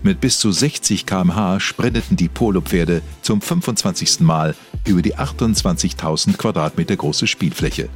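A man reading scripted narration as the narrator of a mock-serious radio documentary. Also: low-pitched.

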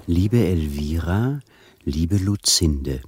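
An actor in a German radio crime play playing a vain, genteel imprisoned charmer with a husky voice and formal, affected manner. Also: male voice, sits low, animated, background noise, full character voice. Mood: unctuous, solemn. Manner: measured, formal, affected refinement.

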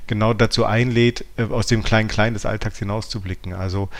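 A man with a fairly low voice speaks as a podcast co-host. He talks analytically in a conversational way, starting hesitantly and often rephrasing as he builds his point. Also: steady tone, a little fast.

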